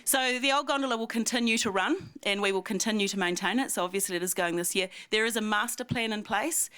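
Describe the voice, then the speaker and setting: A woman's voice: mid-range voice, a bit bright, clean tone, dry recording. A woman giving a chatty, self-deprecating ski resort update at a community meeting, with plenty of fillers. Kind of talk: community breakfast talk.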